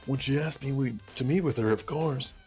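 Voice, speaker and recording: A man's voice: medium pitch, moderate pace, over music, lightly acted. A man voicing a goofy, evasive young slacker who stammers, in an amateur video game dub. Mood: evasive, nervous.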